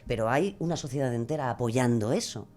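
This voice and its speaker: A woman's voice: rumbling low, fairly quick, warm tone, soft background music. A woman musing hesitantly and philosophically as a podcast guest. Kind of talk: conversational interview answers.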